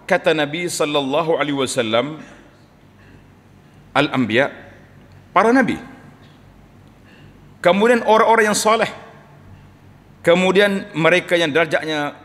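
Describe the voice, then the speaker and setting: A middle-aged man with a Kelantan Malay accent, giving a Friday sermon from a mosque pulpit and swinging between loud rises and low, quiet drops. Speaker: preacher. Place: large mosque hall, indoors. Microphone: pulpit microphone on a stand. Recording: long reverb from a large mosque hall.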